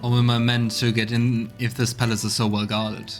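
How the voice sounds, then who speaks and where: A man's voice: mid-range voice, little pitch movement, moderate pace. A man giving casual let's play commentary, musing and hesitant as he thinks aloud and reads out game text. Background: game music.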